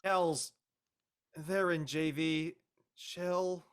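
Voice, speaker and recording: A woman playing a cautious, easygoing high school student who talks in casual slang in a scripted drama. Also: fairly even intonation, extremely slow, clean tone. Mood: mellow.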